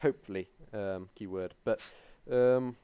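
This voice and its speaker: Young man, smooth clear voice, hesitant, lots of ums, casual tech podcast host. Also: lowish voice, unhurried, mellow.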